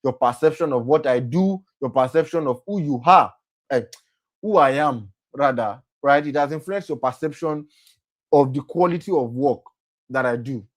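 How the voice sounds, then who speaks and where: A young man leads a motivational talk for young people at a mid-range pitch. He circles back over his points, corrects himself mid-sentence, and keeps asking his listeners "right?". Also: normal speed. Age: young adult. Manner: repetitive, self-correcting, often asks "right?". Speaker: program host and speaker.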